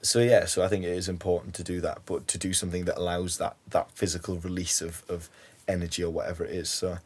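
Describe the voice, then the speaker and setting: A man's voice: sits low, flat delivery, fast, darkish tone, slightly rough. A young man from Newcastle with a Geordie accent, chatting casually as an interview guest and filling his talk with ums and "you know"s. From Newcastle, England. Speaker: guest, ambulance worker.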